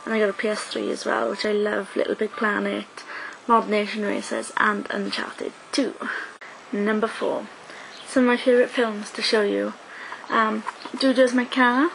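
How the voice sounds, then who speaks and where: A woman's voice: mid-range voice, creaky, background noise. A young woman, chatting casually to her webcam, full of ums and likes. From South Wales. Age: young adult. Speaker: vlogger. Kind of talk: casual talking-to-camera vlog. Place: small room indoors. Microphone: webcam at close range.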